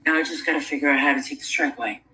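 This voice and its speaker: Bright, forceful woman on a noisy recording. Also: low-pitched, racing. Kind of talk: casual chat, recounting and explaining. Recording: noisy, replayed clip of a chat.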